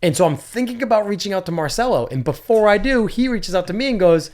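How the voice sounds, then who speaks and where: A man's voice: high-pitched, quick pace. A man hosts a casual podcast, spinning a long story about a trip and a friend. He slips into the voices of the people he quotes and keeps the talk loose and chatty. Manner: animated storyteller, acts out quotes. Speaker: podcast host.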